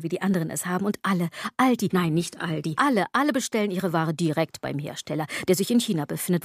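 A woman's voice: fairly low pitch, darkish tone, no room sound, clean studio sound. An actress playing a sardonic, aging woman in a literary radio drama, speaking in an ironic, declamatory way. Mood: breathless, insistent. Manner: ironic, declamatory, wordplay-laden delivery. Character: sardonic aging woman musing on fashion and consumerism.

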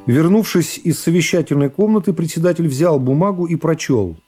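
A man's voice: on the high side, expressive, a little fast, warm tone, soft background music. A man narrating classic literary prose for radio, giving it a scripted, dramatic reading.

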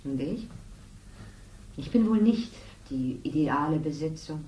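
Lower-voiced, dark-toned, jealous, cutting partner; roomy and distant radio-play sound. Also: female voice, expressive, unhurried. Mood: bitter. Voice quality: sharp-tongued and scornful. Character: bitter, jealous woman, the man's partner. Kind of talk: 1970s radio drama. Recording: echoey room, distant, faint ambience.

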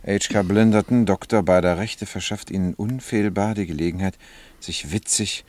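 A man's voice: fairly low pitch, fairly even intonation, normal speed, a little mellow, natural voice. Grumbling, sceptical sidekick, played by a man. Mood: wry. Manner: querulous, sarcastic. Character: grumbling, sceptical male companion of an eccentric. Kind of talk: German radio play acting.

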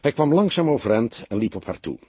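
A man narrating an audiobook story from a script in a measured, expressive way. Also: medium pitch, slightly rough, no echo.

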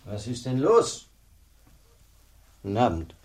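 An actor playing a gruff, blunt former village policeman in a German radio drama, with a rough, husky voice and brusque, grumbling manner. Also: medium pitch, wildly swooping pitch, takes it slowly, a little mellow, exaggerated voice. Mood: irritated.

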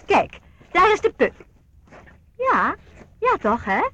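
An actress playing an elderly, eccentric aristocratic aunt in a radio play, grand, chatty and blithely macabre. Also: female voice, very high voice, huge pitch swings, takes it slowly, highs cut off, noisy background, heavily acted. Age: older.

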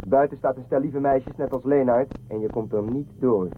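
A man's voice: medium pitch, moderate pace, lightly acted. A man playing a wry, wary starship crewman in a science-fiction radio drama, a little hesitant in his phrasing. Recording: narrow-band audio with faint noise.

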